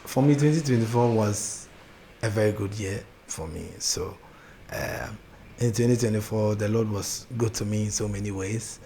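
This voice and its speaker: A young man with a Ghanaian accent speaks in a lowish, soft-spoken voice, pausing and restarting his sentences as he chats with an interviewer. A faint ambient hiss sits underneath. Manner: soft-spoken, laughs easily, hesitant restarts. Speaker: gospel artist guest. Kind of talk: casual interview chat.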